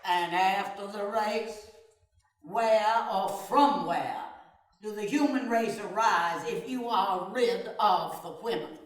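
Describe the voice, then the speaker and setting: A woman's voice: medium pitch, slow, a loud crowd. A woman narrator reading stage directions in a scripted dramatic reading. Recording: very echoey room, distant mic, noise.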